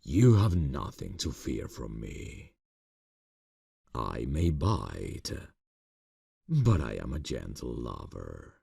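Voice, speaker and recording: A man playing an ancient, courtly vampire boss in an ASMR fantasy roleplay, seductive and quietly menacing, speaking formally and deliberately. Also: wildly swooping pitch, dry recording, clean studio sound, exaggerated voice. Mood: seductive, commanding. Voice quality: very deep, gravelly, breathy. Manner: slow, formal, silky, deliberate. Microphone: close microphone, dry sound.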